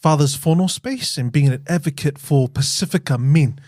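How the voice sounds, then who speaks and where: A man hosting a podcast interview, affirming his guest warmly and steering the talk with questions. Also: fairly high pitch, normal speed, no echo, clean studio sound. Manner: warm and encouraging, with frequent affirmations. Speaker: podcast host.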